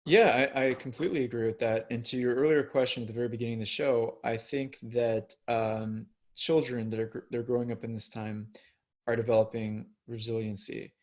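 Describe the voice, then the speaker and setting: American guy guesting on a podcast, earnest, lots of um and restarts, middle pitch. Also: fairly even intonation, fairly quick, a little mellow. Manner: earnest, thinks aloud with restarts and "um"s. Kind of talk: casual conversational interview.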